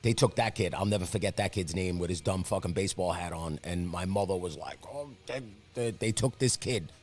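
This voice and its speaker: A man's voice: low-pitched, fairly quick, darkish tone. A man whose first language is Italian, a podcast guest who grew up in New York, talking in a laid-back, profane way and often saying "you know what I'm saying.". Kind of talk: casual chat.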